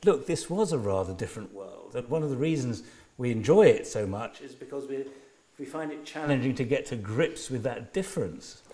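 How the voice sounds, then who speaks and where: A man interviewing a guest, asking probing, measured questions in an academic conversation. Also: mid-range voice, expressive, mellow.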